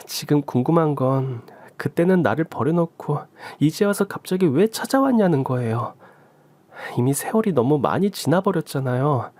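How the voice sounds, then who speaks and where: A man's voice: on the high side, darkish tone, dry recording, voice pushed up. Man reading as hurt grown daughter, bitter reproachful questions, dry sound. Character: hurt adult daughter, woman around forty.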